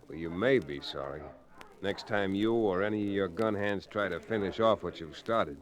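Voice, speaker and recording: An actor playing a folksy, firm frontier lawyer hero in an old-time radio western. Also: male voice, deep voice, moderate pace, natural voice. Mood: cool, defiant. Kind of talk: old-time radio western acting, first-person narration and dialogue. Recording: vintage radio drama recording.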